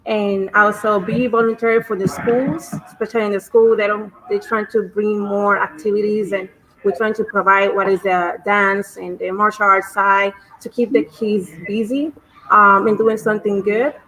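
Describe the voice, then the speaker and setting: A woman with a Puerto Rican Spanish accent talks in English over a video call, her voice bright and forward. She pauses often and fills gaps with "um" as she finds her words. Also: medium pitch, steady tone, rough recording. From Puerto Rico. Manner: hesitant, many fillers. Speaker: dance teacher and studio owner. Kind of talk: panel introduction.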